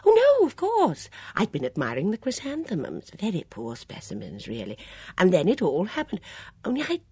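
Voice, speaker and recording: A woman reader acts out a vague, good-natured middle-aged lady in an audiobook. She is breathless and flustered, rambling and hesitating. The voice sits higher and flutters widely in pitch. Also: huge pitch swings, moderate pace, dark tone, breathy edge, no room sound, exaggerated voice.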